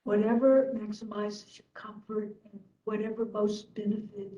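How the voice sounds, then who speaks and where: A woman leading a guided meditation as instructor, speaking gently in short phrases with long pauses. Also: mid-range voice, flat delivery, highs cut off.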